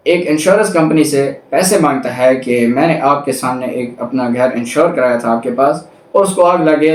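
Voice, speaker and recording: Echoey, higher-pitched male law lecturer. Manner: didactic, rephrases and repeats points step by step. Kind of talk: explanatory lecture, reading legal text then explaining it. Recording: roomy echo, distant microphone.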